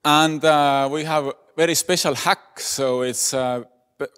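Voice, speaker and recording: Man giving a halting talk. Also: a little high, fairly even intonation, a bit bright, clean tone. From Estonia. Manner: hesitant, many fillers. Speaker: conference speaker. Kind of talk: lightning talk presentation. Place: conference hall. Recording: echoey conference hall.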